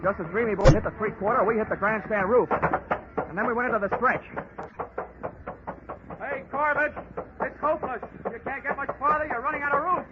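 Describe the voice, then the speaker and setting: A man's voice: very high voice, fairly even intonation, normal speed, long pauses, heavy background noise. An actor playing a tough, wisecracking insurance investigator who narrates his case in clipped 1940s radio detective style. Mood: tense, driving, then taunting, shouting. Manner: wisecracking, hard-boiled, clipped. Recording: muffled 1940s radio recording, music behind.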